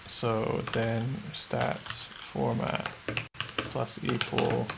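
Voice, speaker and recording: A man's voice: medium pitch, very slow, vocal fry. A man chatting casually on a live stream while coding a game, muttering his code aloud. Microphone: desk streaming mic. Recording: faint background noise, keyboard typing.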